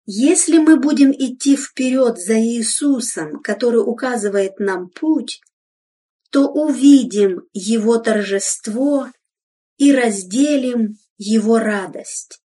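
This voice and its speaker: A woman giving a solemn, measured devotional reading in an exhorting tone, heard from some distance from the microphone. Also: on the high side, animated, warm tone, breathy edge. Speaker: reader of devotional text.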